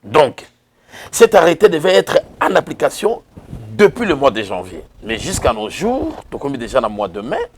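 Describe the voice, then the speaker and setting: A man from Kongo Central with a Congolese accent, answering interview questions in a formal, solemn manner with filler pauses. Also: mid-range voice, huge pitch swings, slight background noise. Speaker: interview guest, association president.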